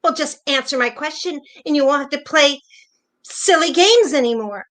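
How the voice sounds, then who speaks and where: Woman doing a jealous older wife in a play, really high voice, hurt, keeps pressing, pointed. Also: normal speed, a bit bright. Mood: accusing, hurt. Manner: pointed, probing, stubborn. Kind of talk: virtual staged play reading, naturalistic dialogue.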